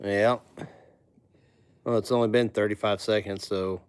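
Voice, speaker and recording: A man's voice: lowish voice, steady tone, unhurried, clear, resonant tone, dry recording. A man, co-hosting a livestream, greeting viewers cheerfully and teasing his partner. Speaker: livestream co-host. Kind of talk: casual livestream chat.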